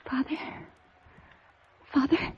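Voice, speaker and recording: An actress playing a credulous young woman at a séance, breathless, hushed and pleading in old radio drama style. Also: takes it slowly, lightly acted. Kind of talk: 1950s radio drama acting. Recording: muffled old radio with faint hiss.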